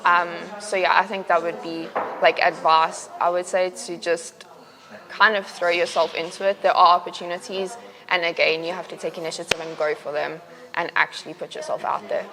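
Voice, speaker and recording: A young woman from South Africa with a South African accent, a university student answering interview questions in a relaxed, chatty way with many fillers. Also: lowish voice, moderate pace. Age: young adult.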